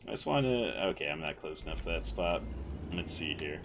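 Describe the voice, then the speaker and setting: A man calmly talking through a driving game as a streamer, with a mellow, fairly monotone voice and a rambling, self-correcting way of speaking. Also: extremely low pitch, fairly quick, gravelly, background noise. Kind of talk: casual gameplay commentary.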